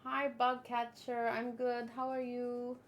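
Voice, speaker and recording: A woman doing casual livestream commentary, reading aloud and reacting in a chatty, expressive way. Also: on the high side, slow pace, slight background noise. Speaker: manga read-along streamer.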